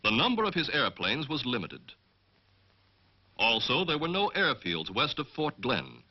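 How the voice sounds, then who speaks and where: A man with an American accent giving formal, measured, scripted military narration as the narrator of a training film. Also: medium pitch, sing-song. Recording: dull 1940s film soundtrack.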